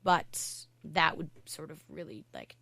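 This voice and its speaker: A woman hockey coach from Canada, a podcast guest, talking in a loud, chatty way with lots of "like" fillers. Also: low-pitched, wildly swooping pitch, no room sound. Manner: chatty, fast storytelling with many "like" fillers. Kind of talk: casual podcast interview.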